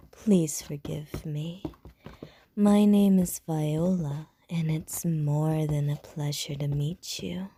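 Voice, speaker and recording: A woman's voice: sits low, mellow, slight background noise. A woman voicing a flirtatious, predatory female vampire in an ASMR roleplay, teasing in sly, often whispered innuendo.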